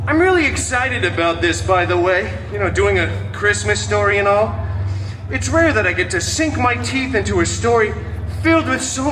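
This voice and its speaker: A man's voice: high voice, pushed and bright, slight background noise, heavily acted. A man playing a snarky masked antihero who hosts a live storytime show, joking sarcastically at the audience. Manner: sarcastic, theatrical, fourth-wall jokes. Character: wisecracking masked male antihero, snarky and hammy. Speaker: live stage show performer. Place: outdoor theme park stage. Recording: distant mic, roomy, music behind.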